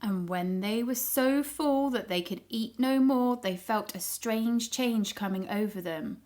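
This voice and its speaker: A woman reading a story aloud to young children in an expressive storytelling style. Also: fairly high pitch. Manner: pauses to ask the child listeners questions.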